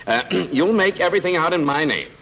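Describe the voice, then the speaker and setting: A man's voice: fairly high pitch, expressive, a little fast. A man playing a cautious, formal business agent who hesitates fussily, in 1940s radio comedy style. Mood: prim, cautious. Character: fussy, cautious business agent for a secret investor. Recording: dull 1940s radio recording with hiss.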